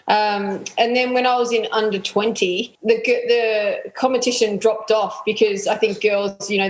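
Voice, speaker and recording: A relaxed Australian woman in her thirties answers interview questions casually, trailing off with ums and yeahs. Her voice sits at a middling pitch and carries a noticeable room echo. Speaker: athlete guest.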